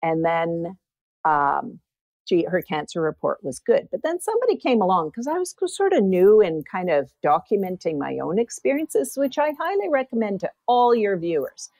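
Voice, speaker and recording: A woman's voice: medium pitch, big pitch swings, moderate pace, darkish tone, no echo. A woman guest and former teacher with a big voice, telling personal stories in an interview. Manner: big voice, anecdotal storytelling. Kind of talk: interview storytelling.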